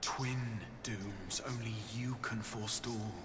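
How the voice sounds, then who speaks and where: Game casting: otherworldly summoner, incantatory and grave, cavernous echo, noisy backdrop. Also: male voice, lowish voice, fairly even intonation, takes it slowly. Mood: solemn, commanding. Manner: solemn, incantatory delivery. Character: mysterious summoning voice, slow and solemn, disembodied. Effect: long echoing reverb. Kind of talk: video game voice acting. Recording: cavernous reverb, clear noise behind.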